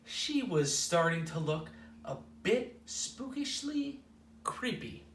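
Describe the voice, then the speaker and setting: A man hosting a children's storytime, reading a picture book aloud in an expressive, playful way with drawn-out dramatic words. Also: high-pitched, a little hiss or hum. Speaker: children's storytime host at a library. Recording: roomy echo, distant microphone.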